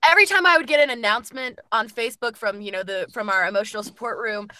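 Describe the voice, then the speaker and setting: A woman's voice: fairly high pitch, fast, pushed and bright, dry recording. A woman voice actress chatting casually on a podcast over a slightly noisy connection.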